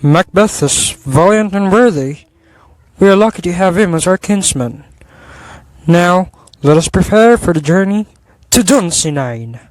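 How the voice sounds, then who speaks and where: A man's voice: sits high, lively intonation, unhurried, slight background noise. A young man playing a gracious aging king in a student radio drama, speaking in a formal, stately way. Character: gracious aging male king, warm toward his loyal thane.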